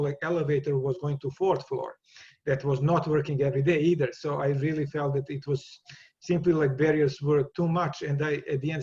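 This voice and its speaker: A man's voice: fairly high pitch, darkish tone, muffled recording. A man from Serbia with a Serbian accent, a guest in an interview, talking casually with many restarts and repeated words.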